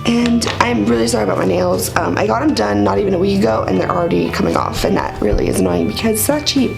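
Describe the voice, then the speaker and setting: Sick, raspy-voiced woman vlogger. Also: medium pitch, big pitch swings, quick pace, over music. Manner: rambling, filler-heavy, chatty. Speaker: beauty vlogger. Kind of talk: casual makeup tutorial.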